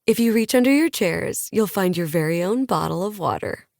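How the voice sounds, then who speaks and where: A woman's voice: mid-range voice, animated, normal speed, creaky, darkish tone, no echo, clean studio sound. A woman narrator voicing an upbeat female influencer who gives a preachy, projecting motivational talk to a crowd. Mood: peppy.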